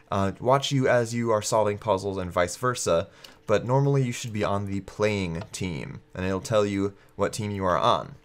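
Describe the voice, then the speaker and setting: Congested man doing casual game commentary. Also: medium pitch. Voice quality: congested, stuffy-nosed. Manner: conversational, explanatory. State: congested with a cold. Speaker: gaming video host.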